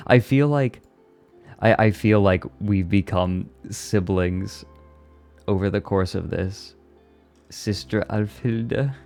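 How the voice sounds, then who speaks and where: A man's voice: fairly low pitch, slow pace, gravelly, long pauses, a little mellow, faint music behind. A young man chatting casually on a live stream, reading and answering his chat and laughing often. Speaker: VTuber streamer.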